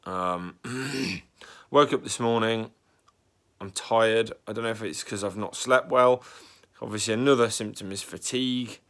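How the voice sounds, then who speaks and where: A young man with a fairly low voice talks through his worries in a casual vlog. He sounds bunged up and a bit raspy from a cold, and clears his throat now and then as he rambles anxiously. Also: a little slow, a bit bright. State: congested and slightly raspy from a cold. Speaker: vlogger.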